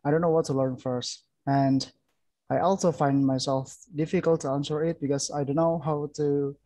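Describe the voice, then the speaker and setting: A man's voice: on the high side, fairly even intonation, normal speed, mellow. A young man asking a question on a video call through a headset, hesitant and searching for words.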